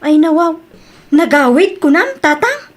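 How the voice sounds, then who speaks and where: A woman's voice: high voice, big pitch swings, a little slow. A woman with an Ilocano Filipino accent playing a chatty young daughter and friend in a radio comedy drama. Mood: concerned, questioning.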